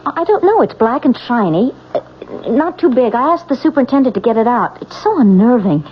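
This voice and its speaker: Anxious, stammering wife, muffled recording. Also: female voice, a little high, big pitch swings, a little fast. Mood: uneasy, apologetic. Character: nervous, unsettled, secretive young married woman. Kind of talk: old radio drama acting. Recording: muffled old radio recording, faint noise.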